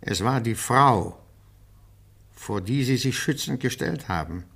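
An actor playing a stern, probing police interrogator in a radio crime drama, formal and insistent. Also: male voice, medium pitch, lively intonation, measured pace, gravelly, a bit bright, husky, exaggerated voice. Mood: accusing, insistent.

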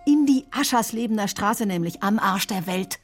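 Radio drama: sharp-tongued female doctor, controlled, defensive, ordinary mid pitch. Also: expressive, moderate pace, slightly rough, faint music behind. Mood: bitter, defensive. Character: bitter, sharp-tongued woman doctor recounting her ordeal. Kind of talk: radio drama acting, confessional narration and dialogue.

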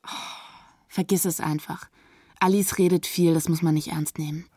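A woman's voice: sits low, steady tone, normal speed, mellow, slightly rough. An actress playing a restless young woman in love, offhand and conversational, in a naturalistic radio drama. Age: young adult. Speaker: love interest character.

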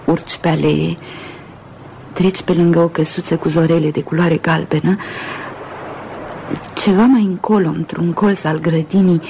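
Dreamy romantic lady played by an actress, old radio play, musing softly in pieces, faint background noise. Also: fairly low pitch, lightly acted, rough recording. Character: dreamy, wistful upper-class woman, romantic and mystical. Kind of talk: Romanian radio theatre acting.

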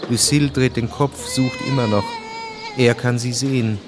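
A man narrating a historical radio drama in a measured, sober manner. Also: medium pitch, steady tone, noisy background, lightly acted. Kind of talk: scripted narration.

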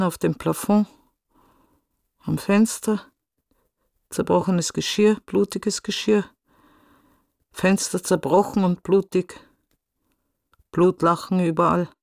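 A man's voice: high voice, fairly even intonation, slow pace, creaky, long pauses, darkish tone, dry recording, clean studio sound, heavily acted. An actor playing a shaken, grim man who recounts a tragedy in terse, fragmented phrases in a radio drama. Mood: horrified, stark.

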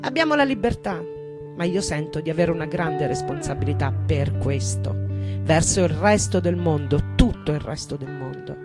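A woman performing a dramatic poetic monologue as a passionate, defiant American rock poet, tender and intense, with deliberate repetition. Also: sits low, animated. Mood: earnest, reflective. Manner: slow, deliberate, intense delivery with repeated phrases. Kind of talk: dramatic monologue and poetry reading over guitar. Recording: live guitar music behind the voice. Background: guitar playing.

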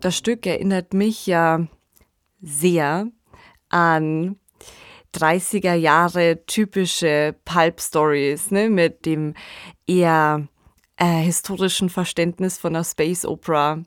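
A woman podcast host with a fairly low voice talks in a reflective, analytical way, phrasing haltingly. The sound is dry and close to the microphone. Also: fairly even intonation, no room sound. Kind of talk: conversational analytical discussion.

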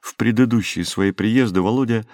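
A man with a low voice reads a literary story as an audiobook narrator. The delivery is scripted storytelling, and the recording is very dry. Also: normal speed, darkish tone, no room sound.

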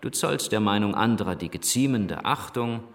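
Low-voiced, thoughtful priest headmaster. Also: male voice, animated. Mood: gently reproving. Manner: measured, articulate, paternal. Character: thoughtful, liberal priest headmaster, gently reproving. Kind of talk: German radio drama acting.

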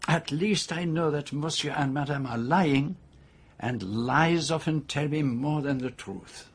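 An actor playing a shrewd, unbending detective in a radio drama, questioning suspects in a formal, deliberate way. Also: male voice, on the high side, breathy edge. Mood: calm, insistent.

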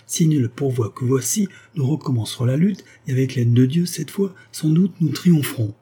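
A man's voice: medium pitch, animated, normal speed, warm tone, husky, pitched above the performer's own voice. A man reading aloud, voicing a devoted young male lawyer who speaks in an earnest, pleading way. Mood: hopeful.

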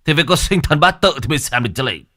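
A man's voice: fairly high pitch, expressive, very fast, bright, forceful tone, no echo, clean studio sound, voice pushed up. A man voicing a flustered older male fortune teller who stammers and fends someone off.

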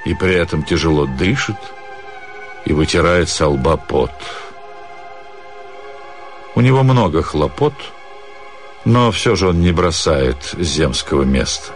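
Low-voiced man narrating a story over music. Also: sing-song, takes it slowly, pauses often. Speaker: actor reading a short story. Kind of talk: literary narration, theatrical storytelling.

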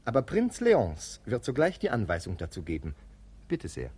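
An actor playing a wry, formal narrator who sets the scene in a 1950s German radio play. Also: male voice, mid-range voice, wildly swooping pitch, moderate pace, darkish tone, slightly rough.

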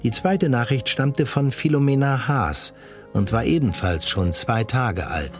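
A man's voice: mid-range voice, unhurried. A man reading scripted narration as the narrator of a radio drama. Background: music.

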